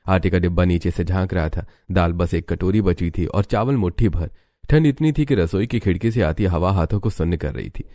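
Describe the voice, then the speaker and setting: A man's voice: sits low, a little fast, mellow, no room sound, clean studio sound. A man reading scripted story narration as the narrator.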